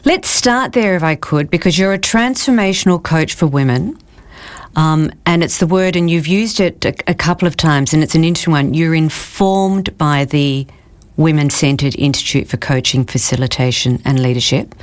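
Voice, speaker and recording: A woman with a low voice hosts a podcast interview. She speaks in a measured way and leans on key words as she introduces her guest and asks her questions. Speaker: podcast host.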